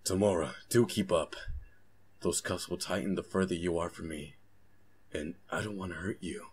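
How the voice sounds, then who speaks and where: A man playing a condescending, fussy gentleman mage in a comic fandub, polite and old-fashioned in his wording. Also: sits low, fairly even intonation, moderate pace, very noisy, close to an everyday voice.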